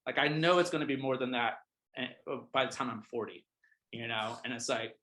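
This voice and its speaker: A man's voice: medium pitch, fairly quick, bright, forceful tone. A man in his thirties coaching other realtors in a casual, emphatic motivational talk, repeating his points and checking in with the listeners. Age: 30s. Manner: emphatic, repeats points, often adds "all right?". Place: office.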